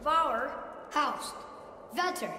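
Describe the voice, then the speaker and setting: An earnest young boy in a video game, light and high. He speaks slowly in short, careful words. The sound is reverberant, with noise behind it. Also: animated, exaggerated voice. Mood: focused. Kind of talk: cinematic video game acting. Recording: reverberant chamber with clear background noise.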